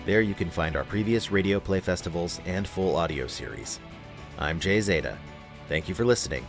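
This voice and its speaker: A man with a deep voice hosts and announces an audio play program. He reads scripted credits over quiet background music. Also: animated, normal speed. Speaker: host and announcer.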